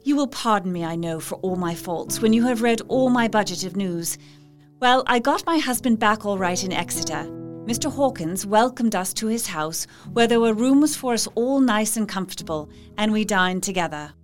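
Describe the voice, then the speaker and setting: A woman's voice: mid-range voice, expressive, moderate pace, faint music behind, close to an everyday voice. A woman playing a devoted, cheerful young newlywed reading her affectionate, old-fashioned letter in an audio drama. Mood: affectionate, chatty.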